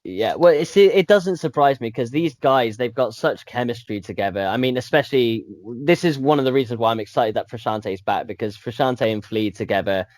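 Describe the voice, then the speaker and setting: A man's voice: mid-range voice, a little fast, few pauses, darkish tone, no echo. A man co-hosting a music podcast, talking with rambling enthusiasm. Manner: enthusiastic, rambling, repeats words. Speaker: podcast co-host. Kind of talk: casual chat about music.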